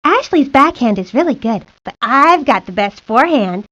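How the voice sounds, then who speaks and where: A woman's voice: sits high, very animated, moderate pace, fairly bright tone, no room sound, exaggerated voice. A woman voicing a chatty, sporty young girl in a video game, earnest and guileless. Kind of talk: video game character monologue. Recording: dry, lo-fi 1990s game audio.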